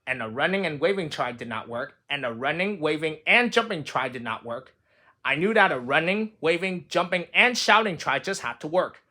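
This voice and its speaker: A man's voice: a little high, lively intonation, normal speed. A man doing a patient, kindly frog. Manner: gentle, encouraging.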